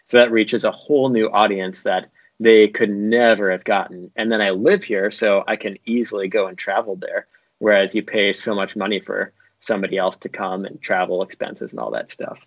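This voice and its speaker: Relaxed North American man, dry sound. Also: lowish voice, moderate pace, no echo, highs cut off. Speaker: interview guest, video editor. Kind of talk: casual interview talk.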